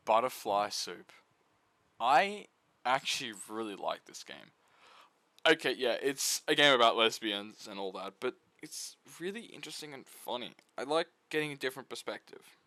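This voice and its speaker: Man reviewing games with very animated pitch. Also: moderate pace, pauses often, dry recording. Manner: irreverent, flippant asides. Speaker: video essayist. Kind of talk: casual video essay review of visual novels.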